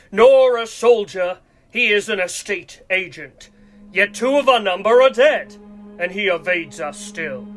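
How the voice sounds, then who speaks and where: Evil santa tyrant in a radio play, high pitched for a man, slow pompous speeches, bit of music behind. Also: fairly bright tone. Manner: pompous, theatrical oratory.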